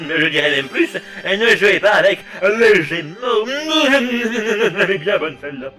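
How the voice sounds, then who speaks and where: A man's voice: high-pitched, lively intonation, takes it slowly, over music. A man voicing a pompous, pun-loving villainous gold knight in a comedic fan dub, theatrical and cackling at his own jokes. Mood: gloating. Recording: echoey hall reverb.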